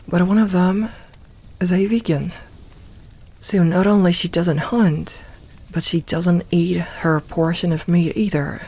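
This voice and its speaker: A woman voicing a gentle, comforting young werewolf in a soft ASMR roleplay, talking intimately and reassuringly to one listener with light humour. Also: lowish voice. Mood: warm, welcoming. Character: gentle, comforting young female werewolf, playful self-styled lone wolf.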